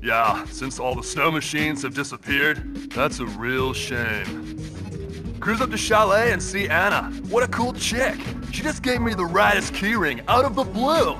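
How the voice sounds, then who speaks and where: An actor playing a laid-back, cocky young ski shop owner in a video game, talking in a slangy surfer-dude drawl. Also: male voice, a little high, animated, unhurried. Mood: laid-back, cool. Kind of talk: cartoonish video game voice acting. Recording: loud game music behind the voice.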